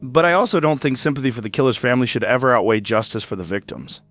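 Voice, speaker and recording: A man's voice: medium pitch, fairly bright tone, soft background music, lightly acted. A man playing an earnest late-night radio host. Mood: somber. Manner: earnest, reflective, measured. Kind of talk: audio drama monologue.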